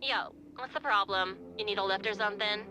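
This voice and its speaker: A woman's voice: medium pitch, fairly quick, noisy background, lightly acted. A woman voicing a laid-back young woman friend in a game who talks casually. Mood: easygoing. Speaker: game character. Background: game music.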